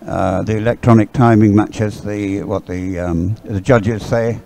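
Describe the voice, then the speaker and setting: A man giving conversational live sports commentary in a hesitant, halting way, often repeating his words. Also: on the low side, steady tone, creaky, dark tone, slight background noise. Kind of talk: live dragon boat race commentary, conversational. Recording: live sports coverage, crowd in background.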